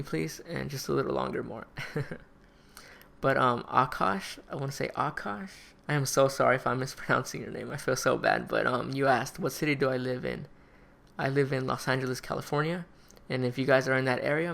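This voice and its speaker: Very gravelly young man, tired voice. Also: mid-range voice, fairly bright tone. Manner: chatty, easygoing, self-deprecating, laughs often. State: tired, voice wearing out. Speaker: gaming YouTuber answering fan questions. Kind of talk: casual Q&A vlog.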